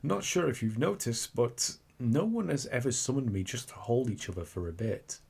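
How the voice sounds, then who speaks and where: A man's voice: mid-range voice, lively intonation, moderate pace, vocal fry, darkish tone, slightly rough. A man playing a suave, teasing incubus demon in a scripted ASMR roleplay, with theatrical delivery. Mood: apologetic, sympathetic. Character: seductive, cocky male incubus demon turning flustered and tender.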